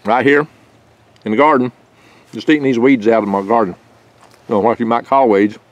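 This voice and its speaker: A man's voice: medium pitch, fairly even intonation, moderate pace, dry recording, muffled recording. An older man hosting a foraging vlog outdoors in his garden, talking in a rambling, folksy, chatty way with small jokes and stumbles, recorded on a handheld camera mic held close. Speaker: foraging channel host. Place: outdoors in a garden among pine trees.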